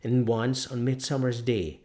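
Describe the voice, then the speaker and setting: A man's voice: medium pitch, fairly even intonation, a little slow, mellow. A man reading a novel aloud as scripted dramatic narration. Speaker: audiobook narrator.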